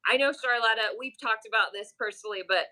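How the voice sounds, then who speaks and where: A woman's voice: on the high side, quick pace, bright, forceful tone, rough recording. A woman hosting a live stream as a pattern making teacher, chatting casually, reading viewer comments and using frequent fillers. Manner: friendly, reads chat aloud, many fillers.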